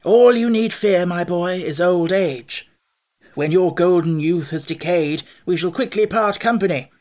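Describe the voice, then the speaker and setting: A woman voicing a cheerful, pompous male scarecrow king in a storybook, with a bright and grandly reassuring manner. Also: lowish voice, a little slow, pitched above the performer's own voice, lightly acted. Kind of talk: audiobook character voice.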